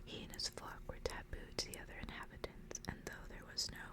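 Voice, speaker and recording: A woman whispers throughout, with no full voice behind the breath. Her delivery is soft, unhurried and intimate, in the style of an ASMR host chatting and reading aloud to the listener.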